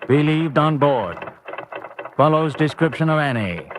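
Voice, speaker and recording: A man's voice: fairly high pitch, lively intonation, unhurried, noisy background. A man playing an official reading out a police bulletin in a radio drama, in clipped, formal phrases. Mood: official, measured.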